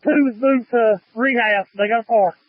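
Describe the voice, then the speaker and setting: A man's voice: extremely high pitch, moderate pace, dry recording, soft background music. A man working as a fireground officer, speaking in emergency radio traffic through a handheld two-way radio. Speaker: firefighter, fireground division officer. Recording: muffled lo-fi two-way fire radio.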